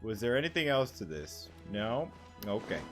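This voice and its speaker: A man's voice: fairly low pitch, very animated, normal speed. A man giving casual gameplay commentary as a streamer, with wry, offhand reactions. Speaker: gaming streamer. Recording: game music behind the voice. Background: video game music.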